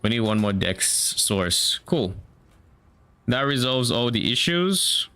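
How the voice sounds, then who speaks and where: A man's voice: mid-range voice, sing-song, slow, sharp tone, clean tone. A man from Nigeria with a mixed Nigerian and Canadian accent, thinking aloud in casual chat on a live game stream. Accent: mix of Nigerian and Canadian. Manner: thinking aloud, musing and self-questioning.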